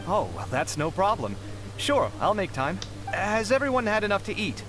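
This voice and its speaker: An actor playing an earnest, theatrical and warm-hearted young architect in a video game. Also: male voice, sits high, big pitch swings, normal speed, music in the background, poor audio. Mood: friendly. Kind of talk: English game voice acting.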